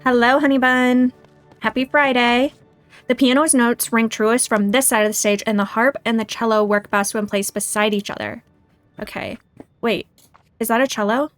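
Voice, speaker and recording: A woman's voice: fairly high pitch, fairly even intonation, normal speed, faint music behind. A woman hosting a casual game livestream, chatting and thinking aloud in a self-deprecating way. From United States. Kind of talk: casual livestream chat and gameplay commentary.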